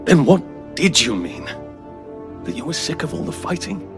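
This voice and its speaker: Casting: a fervent Time Lord envoy for peace, oratorical and persuasive, very animated intonation, radio drama. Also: male voice, on the high side, moderate pace, over music. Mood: probing, persuasive. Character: earnest, zealous alien Time Lord peace negotiator. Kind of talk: audio drama acting.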